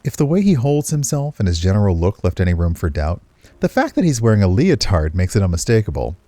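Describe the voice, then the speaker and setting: A man with a low, mellow voice reads out a visual novel. His narration is expressive and moves freely in pitch, mixed with casual streamer commentary. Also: fast talker, dry recording. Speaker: game streamer.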